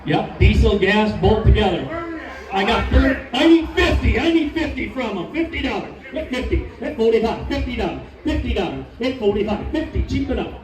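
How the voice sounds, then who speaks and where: A man auctioneering in a rapid, rhythmic sing-song chant, his high voice rattling off bids. He is heard from across a large, echoing hall, with music playing clearly behind him. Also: expressive. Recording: roomy hall sound from far off, music behind.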